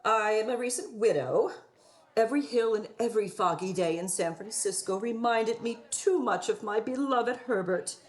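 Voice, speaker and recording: A woman's voice: on the high side, moderate pace, close to an everyday voice. A woman playing a scheming, prim widow in a radio play, with a florid, sanctimonious and affected delivery. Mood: flustered, wistful. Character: scheming widow posing as prim, melodramatic moral crusader. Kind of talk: theatrical radio play acting.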